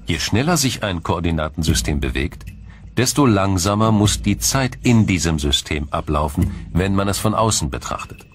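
A man reading a scripted science documentary in a measured, formal, explanatory manner. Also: low voice, expressive. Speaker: documentary narrator.

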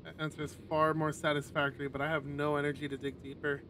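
Guy streamer reading a visual novel out loud, highish voice, casual swearing, some noise behind him. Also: little pitch movement, fast talker. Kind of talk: narrating a game with casual asides. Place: home streaming setup, livestream.

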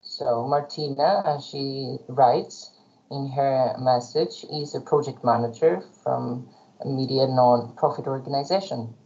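A woman moderating an online webinar, calmly inviting participants to introduce themselves. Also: rumbling low, unhurried. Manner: calm, polite, guiding. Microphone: video call. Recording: muffled video-call audio.

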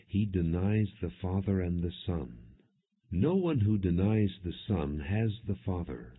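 A man narrating an audio Bible in a measured, solemn reading with deliberate phrasing. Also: sits low, slightly rough. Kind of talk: non-dramatized Bible reading. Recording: muffled, phone-like narrow-band audio.

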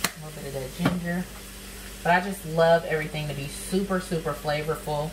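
A young woman with a low voice and a drawl talks viewers through a recipe in her home kitchen, folksy and chatty. The camera sits a little off from her, and a frying pan sizzles clearly throughout. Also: steady tone, a little fast, noisy background. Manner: chatty, folksy, calls viewers honey and sis. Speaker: cooking vlogger. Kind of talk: casual cooking demo. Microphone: camera nearby, speaker off to the side. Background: sizzling pan and stirring.

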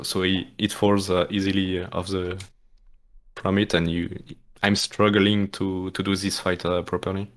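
Soft-spoken man with a French accent. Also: low-pitched. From France. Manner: hesitant, many restarts and fillers, apologetic. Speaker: speedrun tutorial commentator. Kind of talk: live game commentary explaining techniques.

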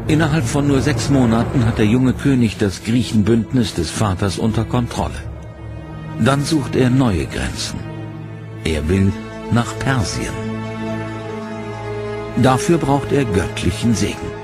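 A man giving scripted, measured documentary narration in a dramatic storytelling manner, over a music bed. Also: mid-range voice, lively intonation. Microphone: studio voice-over mic.

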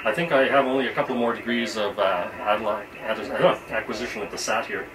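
A middle-aged man giving an informal amateur radio demonstration and making calls in a halting manner. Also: on the low side, fairly even intonation, crowd noise. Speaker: amateur radio operator demonstrating satellite contact. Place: small cluttered workshop or radio shack. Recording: loud radio sounds, roomy camera audio.

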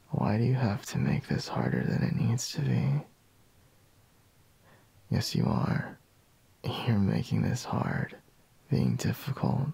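Man acting as a slow, gravelly, sleepy boyfriend. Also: lowish voice, mellow, dry recording, heavily acted. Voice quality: breathy, husky, gravelly, half-whispered. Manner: drowsy, drawling, murmuring with sighs. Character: sleepy, grumbling, teasing young boyfriend woken in bed. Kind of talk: ASMR roleplay, intimate. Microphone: close microphone, dry.

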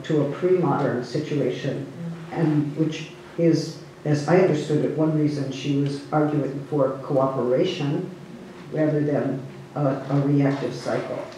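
Woman panelist, careful and measured, mellow tone, roomy far-off sound. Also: low voice, a little hiss or hum. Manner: measured, careful, many fillers. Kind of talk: summarizing another speaker's talk. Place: cinema hall panel table. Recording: echoey hall, distant mic.

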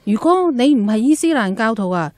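Righteous complaining customer, highish voice. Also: female voice, big pitch swings, brisk, a little mellow, dry recording. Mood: indignant. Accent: Hong Kong. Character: indignant female teahouse customer, righteous complainer. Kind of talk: radio drama acting.

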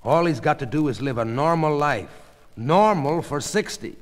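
A man's voice: on the high side, lively intonation, normal speed, voice pushed up, close to an everyday voice. A man voicing an impatient young male doctor in a story, curt and matter-of-fact. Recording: long hall reverb.

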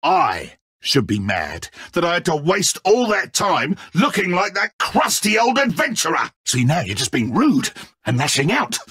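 Flamboyant villain, wildly swooping voice. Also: male voice, on the high side, huge pitch swings, unhurried, bright, forceful tone, breathy edge, no room sound, clean studio sound, full character voice. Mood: petulant, condescending. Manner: mock-friendly, condescending, theatrical. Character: flamboyant, petty, egotistical godlike male villain. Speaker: video game voice actor. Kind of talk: theatrical video game character acting.

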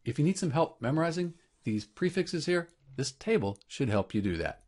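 A man narrating an instructional chemistry tutorial, explaining clearly step by step as a teacher. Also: fairly high pitch, very animated, moderate pace, darkish tone.

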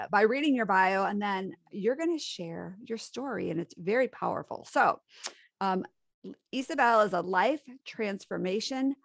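A woman's voice: medium pitch, vocal fry, dry recording, studio quality. A woman hosting a podcast interview, upbeat and friendly in casual chat. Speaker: podcast host.

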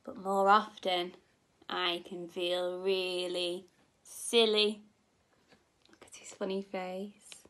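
A young woman, a nursery teacher, reading a children's story expressively and gently, as if to small children. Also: lowish voice, flat delivery, slow pace, pauses often. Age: young adult. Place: indoor room at home.

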